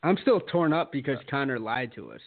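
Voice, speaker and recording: A man's voice: mid-range voice, very animated, brisk, creaky, no echo. A man chatting on a podcast, laughing a lot and throwing in cheeky asides. His voice comes through a thin, phone-like line in a dry space. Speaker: podcast co-host. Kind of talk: casual chat.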